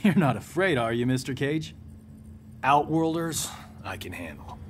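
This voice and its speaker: A man's voice: mid-range voice, big pitch swings, a little slow, background noise. A man playing a cocky, wisecracking fighter in a video game, with a flippant, sardonic delivery. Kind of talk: cinematic video game acting.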